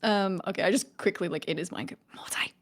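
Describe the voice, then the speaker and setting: A woman playing a tabletop game, casually describing her character's actions in a halting, giggly way. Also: fairly low pitch. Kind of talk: casual, improvised roleplay chat.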